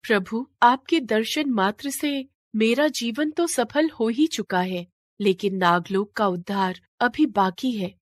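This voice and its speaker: A woman's voice: fairly high pitch, a little slow, no room sound, clean studio sound, voice pushed up, close to an everyday voice. A woman voicing a devout, proud serpent queen who pleads and defies with dramatic emotion in a fantasy story. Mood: pleading. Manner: impassioned, dramatic pleading and defiance.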